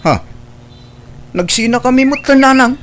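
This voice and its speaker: A man's voice: very high voice, measured pace, fairly bright tone. A man playing a hurt, stubborn husband in a radio drama, speaking in a firm, wounded manner. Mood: defensive. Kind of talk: Ilocano radio drama acting.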